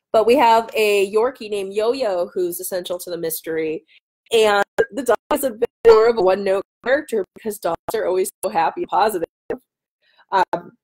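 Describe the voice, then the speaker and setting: A woman's voice: medium pitch, darkish tone. Chatty woman on a casual livestream. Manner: chatty, self-interrupting tangents. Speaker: author hosting a live chat. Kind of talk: casual chat, answering viewer questions. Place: home dining room. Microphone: webcam at arm's length.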